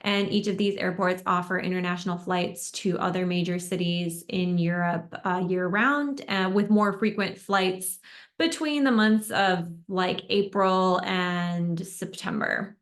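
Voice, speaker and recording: A woman's voice: fairly low pitch, unhurried. A young American woman giving a webinar presentation on a video call, friendly, with many ums and uhs. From United States. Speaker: tour destination expert. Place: indoors at home in a room.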